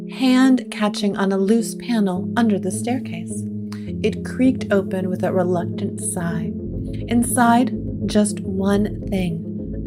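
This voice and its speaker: A woman narrating a scripted gothic story with deliberate, dramatic pauses. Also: mid-range voice. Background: plucked guitar music.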